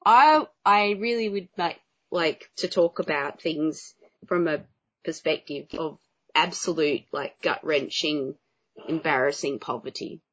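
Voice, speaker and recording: Animated, self-mocking woman. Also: deep voice, a little slow, lo-fi sound. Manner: animated, self-mocking, breaks into silly voices. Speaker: podcast co-host. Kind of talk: casual chat.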